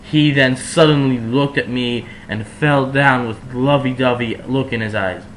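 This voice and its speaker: A man's voice: medium pitch, unhurried, slight background noise, low-quality recording. A man reading fan fiction aloud in a mock-serious, theatrical and exaggerated way, with sardonic asides.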